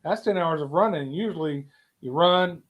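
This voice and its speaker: A man's voice: fairly high pitch, moderate pace. A man chatting casually as a livestream co-host and relaying comments from viewers.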